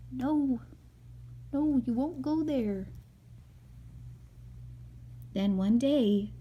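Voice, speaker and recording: A woman's voice: a little high, dark tone, muffled recording, a little hiss or hum. A woman reading a picture book aloud to her young child, with casual asides as she goes.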